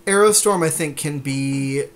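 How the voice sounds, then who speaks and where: A man's voice: on the high side, unhurried. A man co-hosting a podcast, chatting casually and agreeably with a joking manner about a card game. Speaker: podcast co-host.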